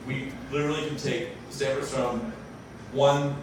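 A man's voice: mid-range voice, little pitch movement, measured pace. Young male plant owner, chatty explainer, roomy far-off bar recording. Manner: chatty, explains with lots of fillers and self-corrections. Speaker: vinyl pressing plant owner speaking at a science cafe. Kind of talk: casual talk to a live audience. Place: large brick-walled bar room. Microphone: handheld mic, filmed from far off. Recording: echoey room, far camera, background noise.

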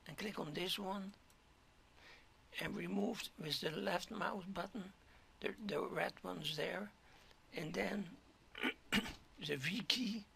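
Hoarse guy doing a slow screen tutorial, super gravelly, bit of hiss behind. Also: high voice, bright, forceful tone. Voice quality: gravelly, keeps clearing throat. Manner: halting, step-by-step, drops to whispers. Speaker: 3D modeling tutor. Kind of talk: screen-recorded software tutorial.

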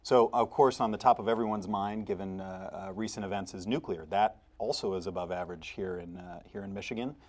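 American male moderator, thirties, slightly low voice, panel discussion in a TV studio. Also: fairly quick, few pauses, a little mellow. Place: TV studio at a desk.

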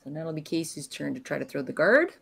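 Low-pitched lady doing curling play-by-play, calm and analytical, lots of pauses. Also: creaky, slightly rough. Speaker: curling commentator. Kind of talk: live sports commentary.

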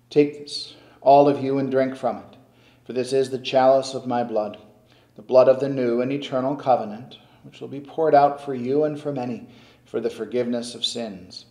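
An older man, a priest, reciting the prayers of the Mass at the altar in a slow, solemn, measured way. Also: medium pitch, dark tone. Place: stone-walled church sanctuary at the altar. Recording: echoey church.

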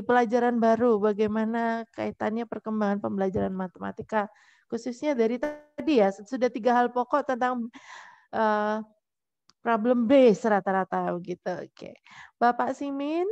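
A woman's voice: a little high, darkish tone, dry recording. A middle-aged woman moderating an online seminar in a chatty way, speaking into an earphone mic on a video call. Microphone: earphone headset mic on a video call. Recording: dull lo-fi video call audio.

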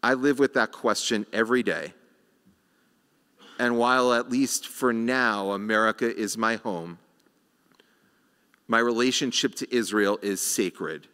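Middle-aged male rabbi, sermon at a lectern, unhurried with deliberate pauses, long sanctuary reverb. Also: mid-range voice, pushed and bright, smooth. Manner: slow, measured delivery with rhetorical pauses. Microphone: lectern microphone.

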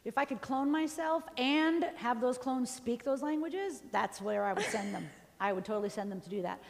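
A middle-aged woman from New York, a university professor, answering questions after her talk in a chatty, animated way. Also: on the high side, moderate pace, creaky. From New York, USA. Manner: chatty, animated, laughs easily. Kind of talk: lecture and audience Q&A. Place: large auditorium stage. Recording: long hall reverb from the auditorium.

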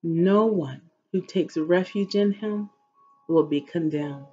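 A middle-aged woman reading scripture aloud in a measured, solemn, devotional way, with a husky voice. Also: on the low side, dark tone, soft background music. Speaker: host of an inspirational reading series. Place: living room at home, indoors. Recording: dull, lo-fi webcam audio.

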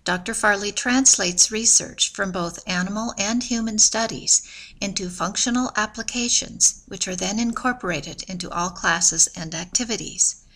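A woman narrating a scripted promotional video in an even, measured, informative way. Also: medium pitch.

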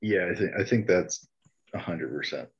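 A man's voice: low voice, normal speed. A man playing in a tabletop game over a video call, good-humored, answering in short replies. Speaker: player. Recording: dull lo-fi video call audio.

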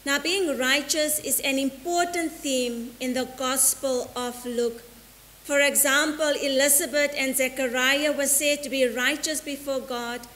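A middle-aged woman priest from South Africa delivering a measured, deliberate sermon from the altar, slowing down for quotations. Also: high voice, fairly bright tone. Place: church sanctuary in front of an altar. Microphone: stand mic at the altar, filmed by a fixed camera. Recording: long church reverb with faint hiss.

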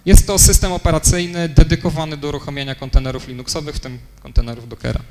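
A man's voice: fairly high pitch, steady tone, fast. Young man presenting in an echoey hall. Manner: fillers and self-corrections, explanatory. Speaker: conference speaker. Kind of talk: technical conference talk. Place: conference room, lecture hall. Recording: long hall reverb, faint noise.

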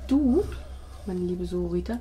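A woman narrating a tabletop roleplay as game master, with suspenseful, drawn-out storytelling and pauses. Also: on the low side, expressive, mellow. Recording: noisy tabletop session recording.

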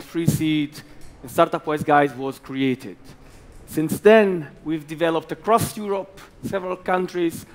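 A man in his thirties with an Italian accent, giving an emphatic talk as an investor hosting a conference stage. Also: a little high. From Italy. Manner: slow, emphatic, animated bursts. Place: large conference hall with audience.

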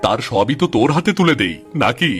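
A man's voice: mid-range voice, big pitch swings, fairly quick, faint music behind, close to an everyday voice. A man playing a hapless, henpecked poor village farmer in a cartoon, with a plaintive, folksy way of talking. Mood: pleading. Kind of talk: cartoon voice acting.

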